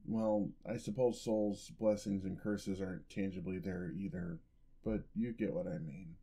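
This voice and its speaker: A man playing a gentle, sheepish, affectionate headless horseman boyfriend in a soft-spoken ASMR roleplay, with hesitant delivery. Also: sits low, fairly even intonation, unhurried, vocal fry, breathy edge, full character voice. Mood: mildly exasperated. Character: gentle, clumsy male dullahan boyfriend, centuries old. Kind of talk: ASMR roleplay, intimate acted monologue.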